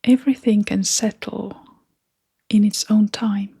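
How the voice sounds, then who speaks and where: A woman leading a guided meditation in a soft, breathy, half-whispered voice, gently and with long pauses. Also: mid-range voice, fairly even intonation.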